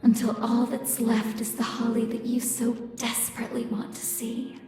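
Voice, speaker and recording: A woman's voice: fairly high pitch, flat delivery, moderate pace, a little mellow, soft background music. A woman voicing a smug, taunting living statue in a visual novel, mocking and self-righteous, with heavy reverb. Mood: cold mockery. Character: smug, taunting uncanny double, cracked saint statue. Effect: heavy echoing reverb. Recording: heavy echo, long hall reverb.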